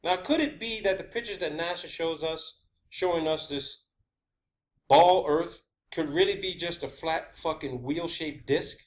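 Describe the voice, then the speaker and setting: A man's voice: fairly high pitch, a little slow. A man delivering an informal, rambling science-theory monologue, emphatic and sweary, often repeating his phrases. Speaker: online video host.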